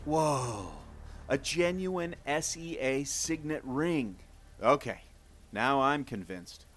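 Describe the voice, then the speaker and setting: Guy doing excitable adventure fan in a radio play, mid pitch, really lively and dramatic. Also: slow, a little hiss or hum. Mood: impressed, apologetic. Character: bold, excitable male adventure enthusiast, protective of friends. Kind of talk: audio adventure drama acting.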